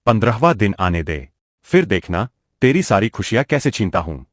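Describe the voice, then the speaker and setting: A man's voice: lowish voice, sing-song, normal speed, no room sound, studio quality. A man playing a menacing, greedy village moneylender with a domineering, threatening manner in a cartoon moral story. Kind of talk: Hindi animated moral story dialogue.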